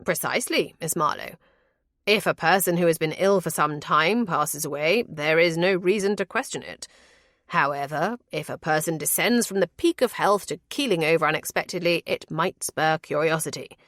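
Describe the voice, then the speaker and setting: A woman's voice: sits low, moderate pace, a bit bright, no echo, clean studio sound. A woman voicing an earnest, exacting male physician in an audiobook, speaking formally and precisely, with scorn for quackery. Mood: grave, assured. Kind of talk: audiobook character voice.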